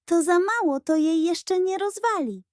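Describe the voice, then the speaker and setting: A woman's voice: extremely high pitch, animated, measured pace, a little mellow, dry recording, studio quality, pitched above the performer's own voice. A woman voicing a mischievous, dramatic schoolboy prankster in a children's audio storybook. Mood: defiant scheming. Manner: theatrical, muttering and whispering to himself.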